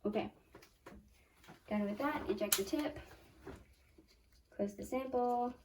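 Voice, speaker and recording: A 12-year-old girl with a squeaky, high voice talks her way through a home science experiment. She chats along, correcting herself as she goes. She sounds set back from the microphone in a small room. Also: slow, background noise. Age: 12 years old. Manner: chatty, self-correcting, talks through each step. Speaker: young science channel host. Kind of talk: casual hands-on lab demo. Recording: voice from a distance off the mic.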